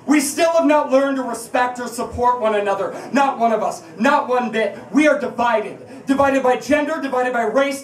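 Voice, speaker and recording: Woman, spoken-word performer, impassioned live reading, emphatic rhetorical delivery, echoey room, recorded from a distance. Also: a little high. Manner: emphatic, rhetorical, confrontational, with deliberate repetition. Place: indoors at a bar, live event.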